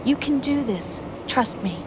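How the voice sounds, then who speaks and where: High-voiced encouraging partner. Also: female voice, lively intonation, a little slow, noisy background. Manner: gentle, encouraging. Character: supportive young female partner over radio link. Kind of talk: video game cutscene acting.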